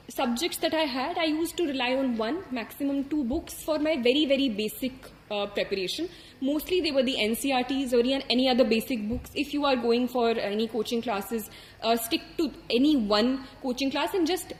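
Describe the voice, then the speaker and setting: A young woman with an Indian English accent speaks in a high, light voice, talking earnestly and thoughtfully through her advice. She pauses often on fillers and restarts her sentences. The room is echoey, with a faint hum of ambience behind her. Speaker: exam topper sharing advice. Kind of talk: reflective advice talk.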